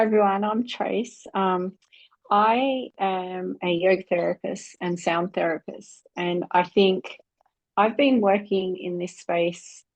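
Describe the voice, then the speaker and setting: A woman's voice: lowish voice, a little mellow, low-quality recording. A woman who works as a yoga and sound therapist, introducing herself thoughtfully and hesitantly to a group.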